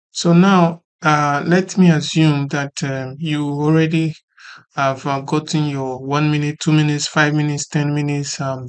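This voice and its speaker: A tutorial host explaining software step by step in an informal way, repeating points and often checking that the listener follows. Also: medium pitch. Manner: repetitive, often checking for understanding.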